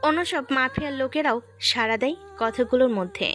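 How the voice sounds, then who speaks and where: A woman's voice: high voice, a little fast, fairly bright tone, soft background music. A woman narrating a scripted audio story.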